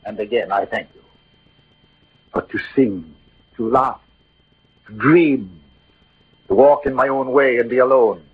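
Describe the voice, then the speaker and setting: A man's voice: medium pitch, measured pace, long pauses. An actor playing a proud, witty, lovesick swordsman and poet in a theatrical, eloquent old radio drama manner. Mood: defiant, proud. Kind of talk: 1940s-50s theatrical radio drama acting. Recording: old husky phone-like radio recording.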